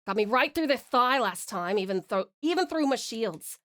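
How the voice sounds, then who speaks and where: A woman's voice: on the high side, animated, normal speed, fairly bright tone. A woman voicing a dying, battle-weary woman in a storybook tale, wry and resigned, with an attempted Scottish accent and blunt old-fashioned speech. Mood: exasperated, wry. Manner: archaic dialect, weary and blunt.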